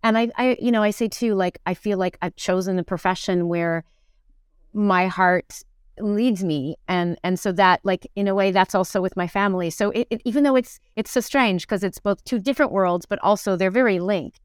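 A middle-aged woman chatting reflectively as an interview guest, animated and thinking aloud, often doubling back on her words. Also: mid-range voice, moderate pace, clean tone, no echo, studio quality. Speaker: interview guest, musical theatre actor. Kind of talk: casual reflective conversation.

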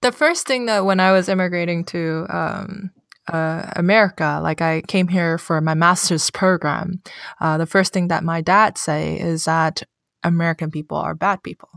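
A young woman from Hong Kong, a therapist, talks in a low-set voice with a clear Cantonese accent. She pauses often and reaches for the right words in English. The sound is close and dry. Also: fairly even intonation, fairly bright tone, no echo. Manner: thoughtful, searching for words, frequent fillers. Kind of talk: casual conversation.

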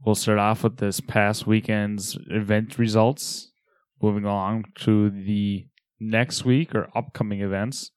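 Slightly raspy wisecracking co-host. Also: male voice, on the low side, level tone, unhurried, no echo. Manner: teasing, wisecracking. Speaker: podcast co-host. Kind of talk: casual podcast banter.

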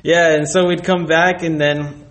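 A man answering as an interview guest in a casual chat, thinking aloud in hesitant, halting phrases. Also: a little high, level tone, fairly bright tone. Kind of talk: casual chat about personal experience.

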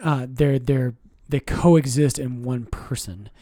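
Relaxed priest, dry mic. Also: male voice, medium pitch, takes it slowly, no room sound. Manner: relaxed, explains doctrine with jokes and self-corrections. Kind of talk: casual podcast chat.

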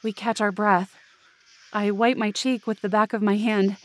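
A woman's voice: mid-range voice, flat delivery, normal speed, no room sound, natural voice. A woman acting an anxious young woman who narrates her frightening night hike in tense first-person audio drama. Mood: shaken.

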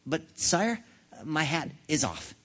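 Young storybook commoner, voiced by a man: polite, timid, bewildered, hesitant, with lively pitch. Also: slow pace, husky, full character voice. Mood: polite, relieved.

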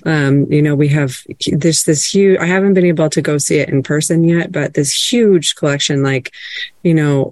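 A woman's voice: low voice, a little mellow, no room sound. A woman librarian presenting casually on an online call, chatty, with frequent fillers and restarts.

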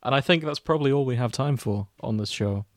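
A man's voice: medium pitch, quick pace, dry recording, studio quality. A man hosting a casual comedy chat with friends in a wry, jokey way. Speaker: podcast host.

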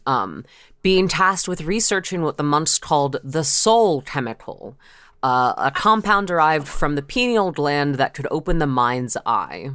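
A woman's voice: deep voice, very animated, measured pace, fairly bright tone, no echo, clean studio sound. A woman co-hosting a podcast, explaining game lore in casual conversation. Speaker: podcast co-host.

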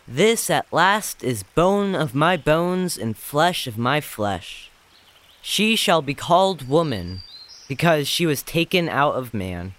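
A man playing Adam, an innocent and earnest first man, in an expressive Bible audio drama performance. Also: on the high side, slow, full character voice. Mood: awed.

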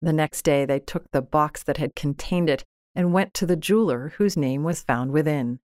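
Low-voiced woman narrating a story. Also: normal speed, darkish tone, no echo, studio quality. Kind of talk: scripted dramatic narration of a literary short story.

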